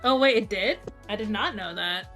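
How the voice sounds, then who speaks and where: A woman's voice: medium pitch, moderate pace, fairly bright tone, faint music behind. A woman hosting a casual fan podcast, speaking informally and a little hesitantly, often trailing off. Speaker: podcast host.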